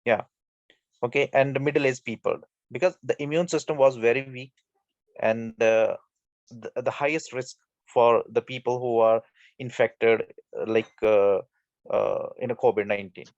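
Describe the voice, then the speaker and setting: A man's voice: mid-range voice, steady tone, moderate pace, a little mellow, dry recording. Hesitant man on a dull call line. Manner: hesitant, many fillers. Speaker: participant. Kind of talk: group discussion on a video call. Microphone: video call.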